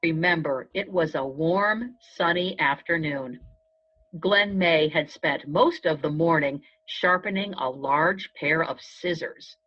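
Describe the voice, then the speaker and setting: A woman narrating a children's storybook aloud in the first person, in a measured storytelling manner. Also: low voice. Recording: dull, lo-fi sound.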